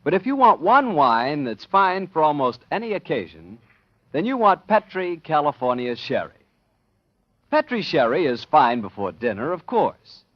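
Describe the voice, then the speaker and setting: Animated American vintage radio announcer man. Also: a little high, normal speed, dry recording. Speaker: announcer and ad spokesman. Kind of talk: 1940s radio announcing and sales pitch. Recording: dull, dry vintage radio recording.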